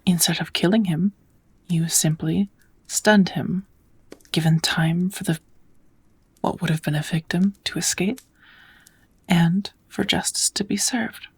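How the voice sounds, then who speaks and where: A woman voicing a kind, patient witch in a soft, often whispered ASMR roleplay, teaching magic in a gentle, measured, slightly formal way. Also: on the low side, husky, exaggerated voice. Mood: calm, patient.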